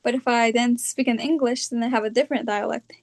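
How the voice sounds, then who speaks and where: A young woman from California with a California accent, talking about her own experience in a reflective, hesitant way, often pausing on fillers. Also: a little high, fairly even intonation. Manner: hesitant, thinking aloud with fillers. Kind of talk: reflective personal talk.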